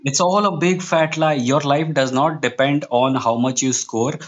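A man's voice: on the high side, steady tone, normal speed, dull audio. A man with an Indian accent speaks as an interview guest in casual, self-deprecating conversation. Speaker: podcast guest, freelance writer.

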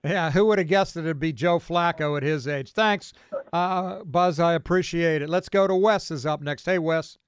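High-pitched American sports radio host. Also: male voice, moderate pace, clean tone, no echo, studio quality. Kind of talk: casual sports talk with callers.

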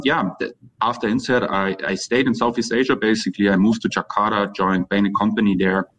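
A man's voice: low voice, low-quality recording. A man from Germany with a German accent, answering interview questions as a guest and repeating words as he forms his thoughts. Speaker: interview guest, company co-founder. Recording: faint background noise.

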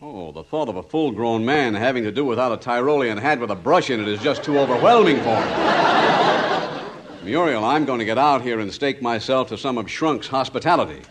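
Guy doing sarcastic american lawyer pal, dry, mid-range voice, old radio comedy with clear hiss. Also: medium pitch, animated, moderate pace, fairly bright tone, lightly acted. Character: sardonic lawyer friend of the family. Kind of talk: 1950s radio comedy acting. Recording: noisy old 1950s radio recording.

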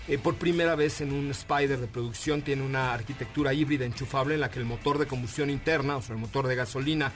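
A man's voice: medium pitch, steady tone, fast talker, few pauses, soft background music. A man with a Mexican Spanish accent hosting a radio car show, talking enthusiastically with jokes, slang and lots of fillers. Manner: enthusiastic, jokey, slang-heavy, many fillers. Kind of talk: casual radio chat.

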